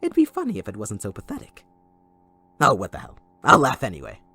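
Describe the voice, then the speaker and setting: A man playing a sadistic, possessive supervillain kidnapper in an audio roleplay, taunting theatrically and mock-sweetly, moving between hushed menace and outbursts. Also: on the low side, wildly swooping pitch, a little fast, breathy edge, no room sound, full character voice. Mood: gloating, menacing. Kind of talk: dramatic audio roleplay monologue.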